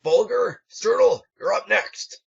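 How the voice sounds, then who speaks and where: A stern pony drill instructor from a storybook reading, put on by a man, pitched up and commanding. The orders come out clipped and barked. The recording is muffled and lo-fi. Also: animated, takes it slowly, creaky, breathy edge, exaggerated voice. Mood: barking.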